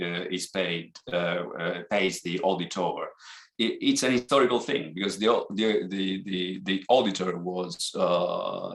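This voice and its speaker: A man's voice: deep voice, fairly bright tone. A man from Italy with an Italian accent, giving a seminar lecture with frequent restarts and hesitations. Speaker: seminar presenter. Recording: echoey room.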